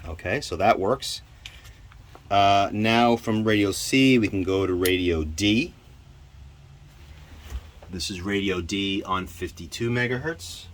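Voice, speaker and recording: A man giving an informal hands-on equipment demonstration, halting and thinking aloud as he goes. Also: lowish voice. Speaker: presenter demonstrating radio equipment. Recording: clear background noise.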